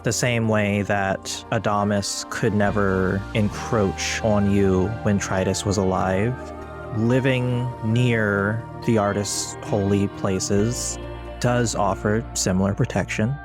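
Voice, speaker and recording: Slow-paced, low-voiced male game master. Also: fairly even intonation, over music. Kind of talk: narrating a tabletop actual play.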